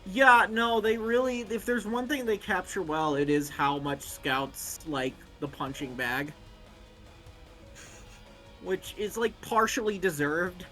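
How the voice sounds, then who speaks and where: A man with a bright, forward voice on the higher side chats as a co-commentator. His phrasing is excitable and stop-and-start, and faint music plays behind him. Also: slow pace, poor audio. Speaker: co-commentator on a story stream. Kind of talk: casual chat.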